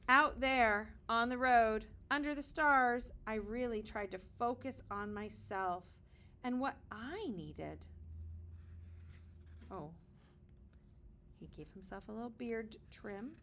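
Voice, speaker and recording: A woman's voice: a little high, slow pace, long pauses, pushed and bright, slight background noise. A woman reading a children's picture book aloud with dramatic emphasis and short explanatory asides, like a kindergarten teacher.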